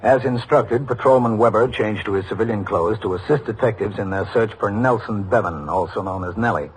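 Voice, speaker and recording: A man playing a steady, commanding American police captain in an old radio drama, speaking and narrating in clipped, official phrases. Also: lowish voice, fairly quick, lightly acted. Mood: factual narrating. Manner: matter-of-fact, clipped official speech. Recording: noisy vintage radio drama recording.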